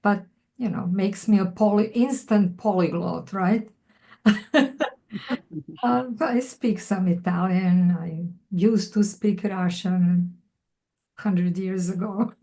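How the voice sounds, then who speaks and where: A woman in her eighties with a soft, mellow tone speaks slowly and with care. She reads her own poems and then answers questions about them with dry, thoughtful humor. Her voice comes through a video call. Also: mid-range voice. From Croatia. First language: Serbo-Croatian. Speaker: featured poet. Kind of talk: poetry reading, then conversational Q&A.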